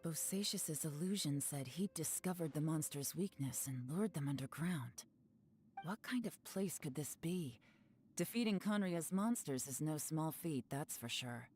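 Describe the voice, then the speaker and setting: A composed woman investigator in a video game. Her voice sits low and she reasons in a measured, thoughtful way. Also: expressive, a little mellow, faint music behind.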